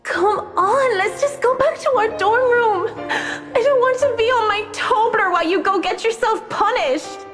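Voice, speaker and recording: A woman's voice: very high-pitched, a little slow. An actress playing a timid, pleading young schoolgirl in a visual novel game. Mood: tearful, pleading. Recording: long reverb on the voice. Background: game music.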